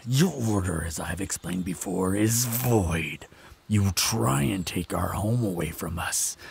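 A man playing a proud, protective vampire in a fantasy roleplay audio drama, speaking in measured, sardonic threats. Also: a little mellow, slight background noise, exaggerated voice. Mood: cold menace. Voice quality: low, gravelly, husky. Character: proud protective male vampire, menacing, sardonic, fond of lover.

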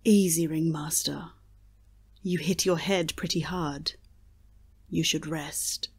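A woman playing a gentle, knowing fortune teller in an audio drama. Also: sits low, fairly even intonation, slow pace, breathy edge, exaggerated voice. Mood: soothing, knowing.

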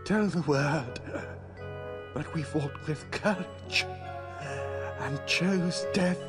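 Slow, dying noble master. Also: male voice, a little high, over music. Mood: fading, resigned. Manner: solemn, halting, formal. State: weak, dying, coughing. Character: dying elderly glassmaker master, noble and resigned. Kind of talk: 1990s adventure game voice acting.

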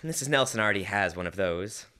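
A young man acts out a flustered, earnest radio-comedy husband. His delivery swings up and down with lively intonation, in the manner of an old-time radio show. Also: lowish voice, fast talker, background noise, natural voice. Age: teens to young adult. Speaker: student actor. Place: school library room, staged performance. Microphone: stand microphone on stage.

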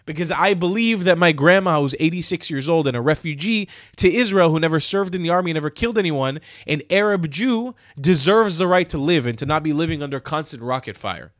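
A man's voice: on the high side, animated, fairly quick, hardly any pauses, a bit bright, no room sound. A young man from the United States ranting angrily and sarcastically in his own online commentary, speaking into a studio mic in a small room. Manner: heated, sarcastic, swearing. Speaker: commentary youtuber. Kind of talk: angry commentary rant. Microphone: studio mic on stand, headphones.

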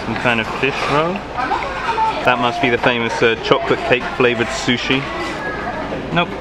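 A young British man narrates his own video in a chatty, wry way, tossing off self-deprecating asides. His mid-range voice competes with a loud crowd and heavy street noise around the handheld camera. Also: medium pitch, moderate pace. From Britain. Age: young adult. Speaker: vlogger. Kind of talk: casual vlog narration. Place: busy restaurant and city street.

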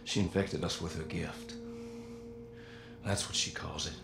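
A man's voice: deep voice, steady tone, creaky, background noise. Weary Southern father, roomy echo. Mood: grave, confiding. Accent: American South. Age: middle-aged. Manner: hushed, halting, earnest. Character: weary, remorseful Southern father pleading for his family. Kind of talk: video game voice acting.

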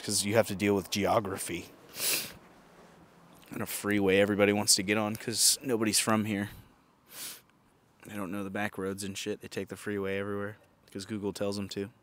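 A man's voice: lowish voice, fairly quick, pauses often. Profane young man riffing outdoors. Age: young adult. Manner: animated, profane, bursts into silly mock singing. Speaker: podcast host. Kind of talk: casual comedic chat. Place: outdoor backyard patio. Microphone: handheld mic.

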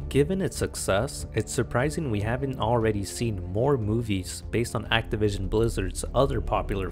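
A man's voice: on the low side, darkish tone. A man delivering scripted narration as the narrator of a video essay.

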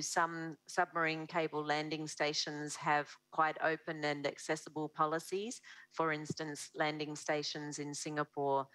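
Low-voiced woman presenting on a webinar. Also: fairly bright tone, no room sound, rough recording. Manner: measured, explanatory, with a few self-corrections. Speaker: research presenter.

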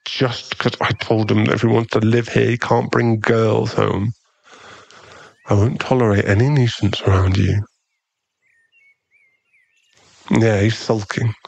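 Man playing a low, gravelly, brooding mafia boss. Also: dry recording. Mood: calm, firm. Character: brooding, protective male mafia boss in love. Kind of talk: ASMR boyfriend roleplay.

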